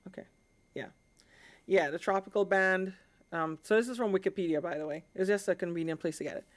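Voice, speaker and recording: A woman's voice: lowish voice, a little fast. A woman from the Caribbean hosting a live stream, explaining things in an informal, conversational way and often checking in with her listeners.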